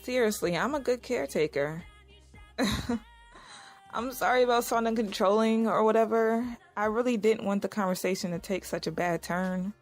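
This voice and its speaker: A woman's voice: medium pitch, normal speed, natural voice. Actress as a caring young woman, music behind. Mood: caring, apologetic. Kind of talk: audio drama dialogue.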